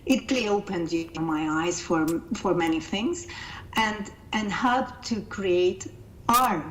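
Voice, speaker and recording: A woman's voice: mid-range voice, slow pace, a bit bright, slight background noise, low-quality recording. A middle-aged woman from Hungary with a Hungarian accent, giving thoughtful interview answers and often repeating words as she searches for phrasing. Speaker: interview guest, coaching founder.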